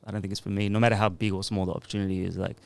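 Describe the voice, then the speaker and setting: A man's voice: sits low, flat delivery, very fast, vocal fry, clear, resonant tone. A man in his thirties, a music producer and DJ, talking in a laid-back, rambling way into a podcast mic. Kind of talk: casual podcast interview.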